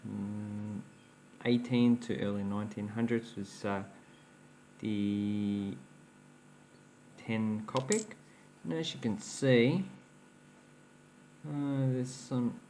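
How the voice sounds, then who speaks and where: Male hobby host, lowish voice, faint noise behind, chatty show-and-tell. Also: darkish tone. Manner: chatty, explains while handling coins, laughs at his own slips. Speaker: coin collecting channel host. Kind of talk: casual show-and-tell vlog.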